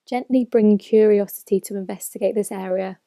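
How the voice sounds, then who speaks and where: A woman leading a guided meditation as a mindfulness teacher, speaking in a gentle, measured way with phrases that soften at the end. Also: mid-range voice, fairly even intonation, no echo, clean studio sound. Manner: gentle, measured, unhurried instruction with soft phrase endings. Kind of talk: slow guided meditation narration.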